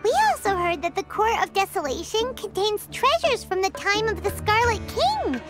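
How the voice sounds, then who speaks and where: A woman voicing a tiny, childlike, excitable female fairy companion in a video game, with a squeaky, exclamatory delivery. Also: moderate pace. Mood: excited. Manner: talks of self in third person, exclamatory. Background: music.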